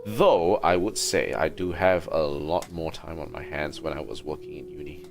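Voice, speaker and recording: A man's voice: a bass voice, normal speed, vocal fry, background noise. A man hosting a casual gaming livestream, talking in a hesitant, rambling way. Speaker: livestream host.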